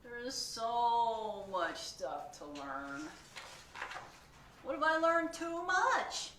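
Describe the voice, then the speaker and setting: A woman's voice: fairly high pitch, big pitch swings, unhurried, voice pushed up. A woman doing a whiny, begging cartoon pigeon. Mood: anxious. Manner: wheedling, begging, melodramatic. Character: pleading, whiny, excitable male pigeon. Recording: echoey room, distant mic, faint noise.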